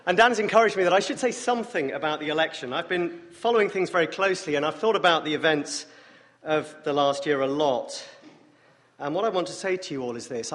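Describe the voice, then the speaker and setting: An English man preaching as a guest speaker, with a wry, self-deprecating humor and a voice deeper than usual from a morning rasp. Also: a bit bright. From England. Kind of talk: sermon with dry jokes. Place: church sanctuary. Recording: long church reverb.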